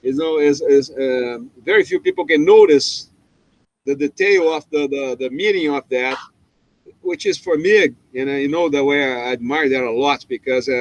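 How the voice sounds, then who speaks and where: Brazilian man, higher-pitched, casual chat host. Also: no echo, low-quality recording. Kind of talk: casual group video chat.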